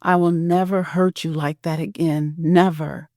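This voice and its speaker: Woman doing gentle middle aged husband, low soft voice, earnest and sorry, audio drama. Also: steady tone, a little slow, dry recording, clean studio sound. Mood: firm, reassuring.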